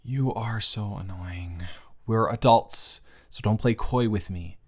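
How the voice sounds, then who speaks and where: A man's voice: on the low side, takes it slowly. A man playing an earnest, easily flustered young man in love, in a conversational, emotive romantic audio roleplay addressed to the listener. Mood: earnest, vulnerable. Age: young adult. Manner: conversational, emotive, swinging from hushed to exasperated.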